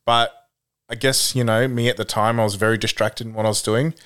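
A young man with an Australian accent, telling stories in relaxed podcast chat, full of fillers like "you know". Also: lowish voice, fairly quick, smooth. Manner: relaxed storytelling with many fillers. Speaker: podcast guest.

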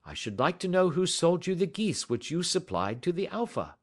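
Man doing a calm sharp detective, dry and measured, audiobook. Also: a little high, pitched above the performer's own voice. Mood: cool. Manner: calm, measured, careless-seeming. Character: cool, shrewd male detective, middle-aged.

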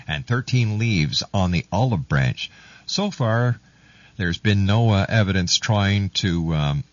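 A man's voice: deep voice, no room sound. A man hosting a live radio talk show, reading out items in an announcer's manner and sometimes stumbling over the text. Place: radio studio. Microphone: close studio microphone. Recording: muffled, lo-fi radio audio.

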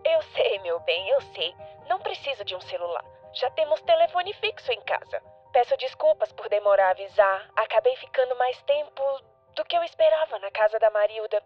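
Affectionate grandmother, reassuring, higher-pitched voice, muffled telephone sound, audio drama. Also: expressive, a little fast. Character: kindly, unhurried grandmother, affectionate and sociable. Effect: telephone filter. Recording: muffled telephone-line sound, music behind.

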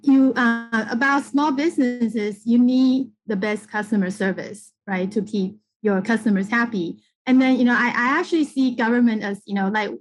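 Higher-pitched middle-aged woman from Taiwan, halting. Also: fairly even intonation, poor audio. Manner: halting, conversational, punctuated with "you know". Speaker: political candidate, interview guest. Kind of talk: casual campaign interview talk.

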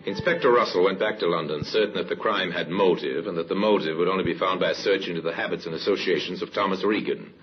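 An actor narrating a vintage radio mystery as a grave, ominous storyteller, measured and dramatic. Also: male voice, low voice, close to an everyday voice. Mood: grave, portentous. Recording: dull vintage radio recording. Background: dramatic music.

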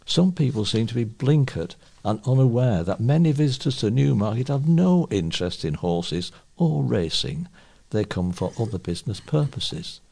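A man's voice: medium pitch, lively intonation, moderate pace, gravelly, dark tone, husky. A man reading local newspaper pieces aloud as a volunteer reader.

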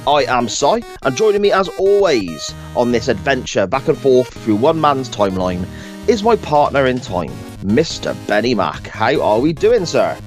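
A man hosting a podcast in casual chat, croaky from a sore throat, rambling and correcting himself as he goes. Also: medium pitch, big pitch swings, moderate pace, faint music behind. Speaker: podcast host.

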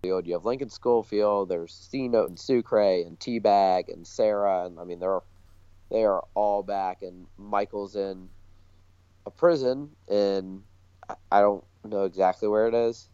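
A man's voice: fairly low pitch, measured pace, warm tone, smooth, no room sound, lo-fi sound. A man co-hosting a podcast in casual, enthusiastic chat. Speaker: podcast co-host.

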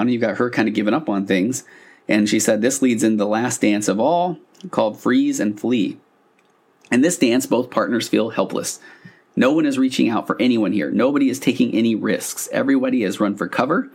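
Low-voiced man hosting a conversational podcast. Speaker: podcast host and couples therapist. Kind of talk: conversational solo podcast explainer. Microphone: podcast microphone on a stand.

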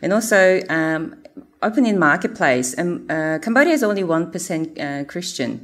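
Low-voiced woman presenting mission work. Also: animated. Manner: halting, many fillers, earnest.

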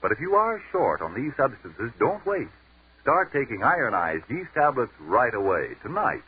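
A man's voice: medium pitch, very animated, normal speed, husky. A man announcing and reading a commercial in a persuasive, emphatic 1940s radio style. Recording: tinny old radio broadcast.

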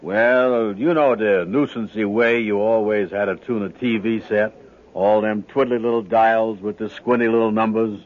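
An actor playing a pompous, boastful, slow-witted man in a 1950s radio sitcom, speaking grandiose folksy dialect. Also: lowish voice, fairly even intonation, natural voice. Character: pompous, slow-witted, boastful adult male would-be inventor. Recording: dull, band-limited old radio broadcast.

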